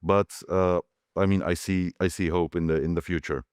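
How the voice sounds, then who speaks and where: A man from Turkey answering on a conference panel, halting and full of fillers and restarts. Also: low-pitched, steady tone, darkish tone, clear, resonant tone, dry recording, studio quality.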